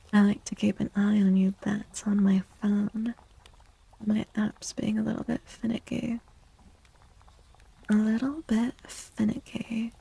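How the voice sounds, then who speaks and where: A woman speaking softly and breathily in a gentle, unhurried near-whisper, chatting as an ASMR livestream host and often softly repeating phrases. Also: mid-range voice, no room sound, low-quality recording. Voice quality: creaky. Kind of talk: soft-spoken ASMR livestream chat.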